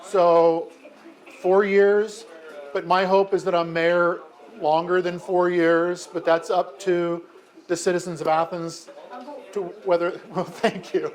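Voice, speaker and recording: A man's voice: sits high, flat delivery, slow pace, rough recording. An American man in his fifties, a mayor, answering a classroom of children's questions in a friendly, chatty way with frequent ums and self-corrections. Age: 50s. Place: elementary school classroom.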